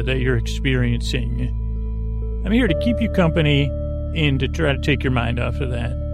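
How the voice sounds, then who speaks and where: A man hosting a bedtime podcast in a rambling, halting, gently silly monologue, trailing off mid-thought in a gravelly voice. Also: medium pitch. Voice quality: creaky, gravelly. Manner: deliberately dull, gently silly. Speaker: podcast host.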